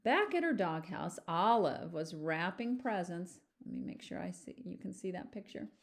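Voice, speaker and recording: Woman teacher doing a kids read aloud, lively, stops to chat and ask the kids stuff. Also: on the low side, normal speed. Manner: animated, addresses young listeners with questions. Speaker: teacher reading a picture book aloud. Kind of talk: children's storybook read-aloud with chatty asides.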